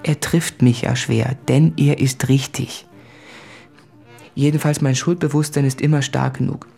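An actor playing a guilt-ridden male writer in a radio play, confessing in an intense, introspective, articulate monologue with a husky voice. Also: medium pitch, fairly even intonation, unhurried, mellow, soft background music. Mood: anxious, pleading. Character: anguished, guilt-ridden male writer addressing his fiancée. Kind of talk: literary radio play monologue from letters and diaries.

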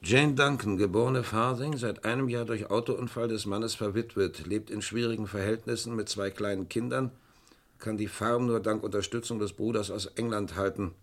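Man acting a radio-play policeman, lowish voice, dry, terse, inquisitive. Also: steady tone, normal speed, lightly acted. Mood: reading out.

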